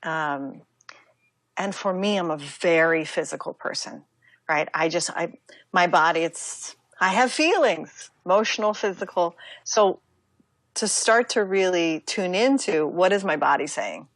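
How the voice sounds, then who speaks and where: An American woman speaking as an interview guest, talking reflectively in a halting, casual way with many asides. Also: lowish voice, expressive, fairly bright tone, dry recording. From United States. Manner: reflective, halting, with fillers and self-quoting asides. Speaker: interview guest, yoga teacher and author.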